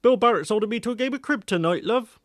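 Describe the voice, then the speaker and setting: Man acting as farmer, loud raised pitch, brisk, homely, audio drama. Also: dry recording, studio quality, lightly acted. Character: brisk male farmer talking to his wife.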